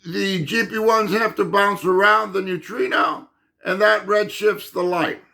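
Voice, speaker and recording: Man, fading hoarse voice, bright tone, slow halting delivery, insists and repeats points. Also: sits high. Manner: insistent, emphatic, repeats himself; halting, with many fillers and restarts. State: losing his voice. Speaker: channel host explaining physics. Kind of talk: unscripted monologue to camera.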